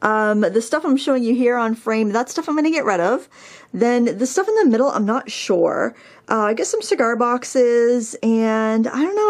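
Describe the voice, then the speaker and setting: A woman with a Maine accent chatting casually as a craft vlogger while she sorts her art room, filmed on a handheld camera. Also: fairly high pitch. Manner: rambling, self-deprecating, many ums and likes. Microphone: handheld camera mic.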